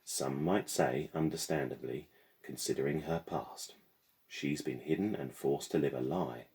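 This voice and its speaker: A man voicing a haughty foreign woman with a French accent and a measured, sing-song delivery in a storybook. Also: extremely low pitch, a little mellow. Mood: disapproving, measured. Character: foreign woman, haughty guardian speaking secretively.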